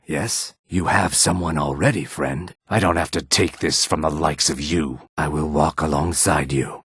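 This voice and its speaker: Game casting: gruff streetwise reptilian male, very husky and low, wary, measured menace. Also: no echo, studio quality, full character voice. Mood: sly, menacing. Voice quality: raspy, gravelly. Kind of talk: video game character dialogue lines.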